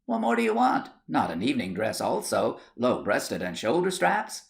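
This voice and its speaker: A man's voice: on the low side, big pitch swings, normal speed. A man voicing a placid, stolid husband in a storybook tale, dry and matter-of-fact. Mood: mildly defensive.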